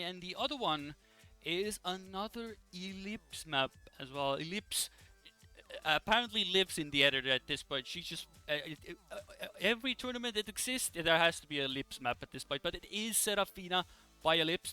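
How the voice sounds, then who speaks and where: Bright-voiced man hosting, music behind. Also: high voice, a little fast, smooth. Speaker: esports broadcast host. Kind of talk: casual live presenting and commentary. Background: music in the background.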